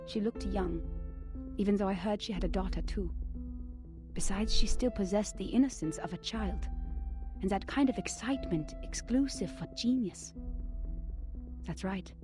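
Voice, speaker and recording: Woman doing a thoughtful lady reading her journal, slow and wistful, faint music under it. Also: mid-range voice, close to an everyday voice. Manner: measured, wistful storytelling. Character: reflective woman recalling a strange acquaintance. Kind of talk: game narration of a written record.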